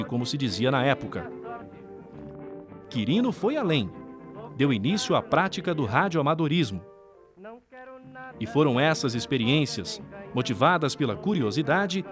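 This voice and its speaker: A Brazilian man reading scripted documentary narration. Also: a little high.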